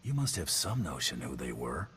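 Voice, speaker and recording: A man's voice: very deep voice, very animated, normal speed, fairly bright tone, exaggerated voice. A man voicing a tough, laconic bounty hunter in a video game, terse and dryly menacing. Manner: terse, dry, threatening. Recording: long hall reverb, background noise.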